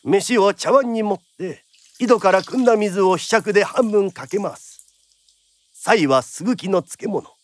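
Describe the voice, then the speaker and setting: A man's voice: sits high, measured pace. A man narrating a period radio drama like a theatrical storyteller.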